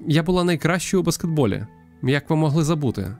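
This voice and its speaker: A man's voice: a little high, expressive, quick pace, a little mellow, faint music behind, voice pushed up. A man voicing a spirited, proud schoolgirl who plays basketball, in eager visual novel dialogue. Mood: proud, indignant.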